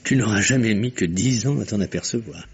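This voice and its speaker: An actor playing a hesitant, joking captain and husband in a 1950s French radio mystery. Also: male voice, on the low side, a little fast, breathy edge. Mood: wry, tender. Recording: dull vintage radio recording.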